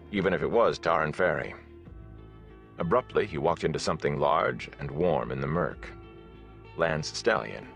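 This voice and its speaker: A man reading a fantasy novel as an audiobook narrator, with a measured, dramatic storytelling delivery. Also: sits low, gravelly, a bit bright.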